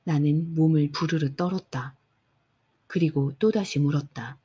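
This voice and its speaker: A woman narrating a bedtime audiobook in a soft, unhurried way. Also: low voice, breathy edge. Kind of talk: calm bedtime audiobook reading.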